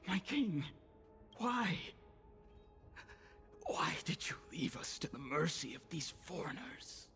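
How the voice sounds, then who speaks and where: Proud, forceful, gravelly soldier. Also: male voice, fairly high pitch, sing-song, slow, faint music behind, exaggerated voice. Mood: anguished. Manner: impassioned, declamatory. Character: proud, defiant young male soldier bent on vengeance. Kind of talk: dramatic video game voice acting.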